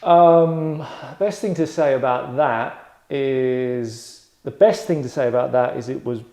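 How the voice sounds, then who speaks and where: Man, host and director, deadpan, rambling, self-satisfied, casual tongue-in-cheek critique, medium pitch, echoey room. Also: slow pace, a little hiss or hum. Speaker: host and director of acting table read. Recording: roomy, echoey indoor sound.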